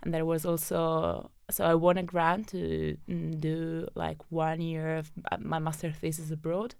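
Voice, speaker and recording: Young italian woman, lowish gravelly voice, chatty with lots of ums, dry room. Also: completely flat delivery, a little slow, darkish tone, no room sound. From southern Italy. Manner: chatty, frequent fillers, laughs often. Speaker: guest, data scientist. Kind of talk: casual interview storytelling.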